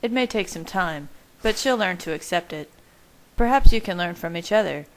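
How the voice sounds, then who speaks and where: Wise, kindly vampire patriarch, read by a woman: calm, reassuring, a slightly low female pitch, storybook dialogue. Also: moderate pace, low-quality recording. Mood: warm, reassuring. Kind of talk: audiobook dialogue.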